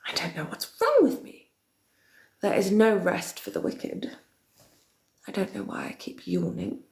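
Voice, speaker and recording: A woman acts out an ancient, villainous queen of darkness who addresses her followers in a slow, theatrical murmur. Her pitch swoops widely from phrase to phrase, often sinking into a breathy whisper. The voice carries a roomy echo. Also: wildly swooping pitch, darkish tone. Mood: sinister, theatrical. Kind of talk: in-character chat with viewers.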